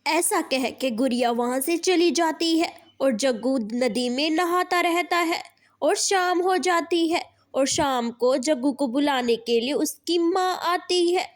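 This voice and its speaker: A woman with a high-pitched voice narrates a children's cartoon. She reads the scripted tale as a storyteller. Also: measured pace.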